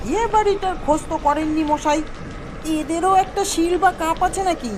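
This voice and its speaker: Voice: extremely high pitch, moderate pace, background noise, pitched above the performer's own voice. A storyteller voicing bold, undaunted young men who banter and argue cheekily in a dramatised horror story. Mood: indignant, cheeky.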